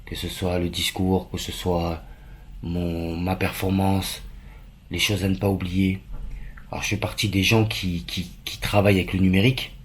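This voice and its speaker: A man's voice: low-pitched, level tone, normal speed, a little hiss or hum. A man from France, talking casually and earnestly to the camera as a vlogger, repeating phrases for emphasis. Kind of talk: casual vlog chat to camera.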